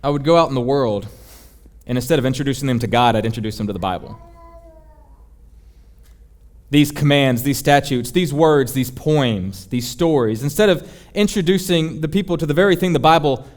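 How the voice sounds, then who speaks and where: A man's voice: mid-range voice, brisk. A man preaching a sermon in an emphatic, rhetorical and confessional manner. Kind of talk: sermon, teaching.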